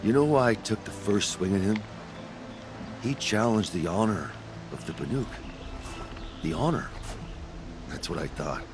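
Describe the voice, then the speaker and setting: An actor playing a proud, weary exiled tribesman in a video game, with a wry, halting, reflective delivery. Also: lowish voice, lively intonation, music in the background.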